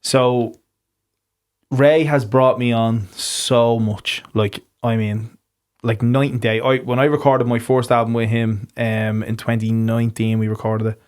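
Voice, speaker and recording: An Irish man hosting a podcast, talking in a relaxed, casual way, swearing freely and restarting his sentences. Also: medium pitch, unhurried, smooth. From Ireland. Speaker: podcast host. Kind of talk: casual conversation.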